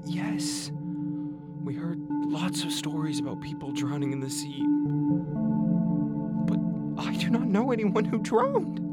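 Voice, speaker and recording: An actor playing a seventy-year-old Syrian father, a retired teacher, wounded and pleading in a halting, emphatic monologue over music. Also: fairly high pitch, close to an everyday voice. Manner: halting, emphatic, repeating phrases, long pauses. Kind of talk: dramatic phone-call monologue.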